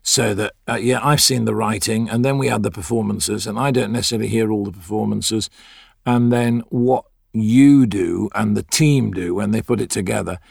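A man's voice: on the low side, normal speed, creaky, husky, dry recording, studio quality. A middle-aged man, an actor, talking reflectively in an interview. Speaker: audio drama actor.

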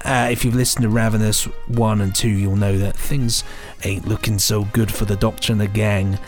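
A man co-hosting a podcast, chatting enthusiastically about new releases in quick bursts. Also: fairly low pitch, little pitch movement, a little mellow, faint music behind. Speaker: podcast co-host and sound designer. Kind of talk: casual chat.

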